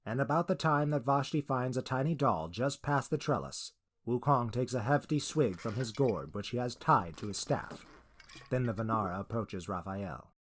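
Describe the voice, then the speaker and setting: Mid-pitched male fantasy narrator. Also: lively intonation, normal speed, a bit bright, a little hiss or hum. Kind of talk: scripted fantasy story narration.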